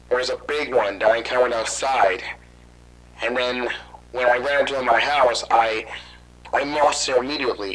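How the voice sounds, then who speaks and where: A man's voice: medium pitch, a bit bright, a little hiss or hum, natural voice, rough recording. An actor playing a frightened man hunted by monsters, rambling anxiously and swearing in his panic. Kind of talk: amateur horror audio drama.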